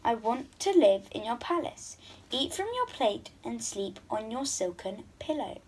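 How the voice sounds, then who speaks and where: A woman puts on a persistent frog from a children's storybook. It speaks in a highish, animated voice. Its manner is polite, yet it keeps on demanding. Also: measured pace, a little hiss or hum, rough recording. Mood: bargaining.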